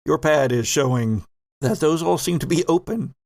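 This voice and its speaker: A man narrating a horror tabletop roleplaying game as game master, with dramatic, drawn-out delivery. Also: on the high side, gravelly, a little mellow, no echo, clean studio sound.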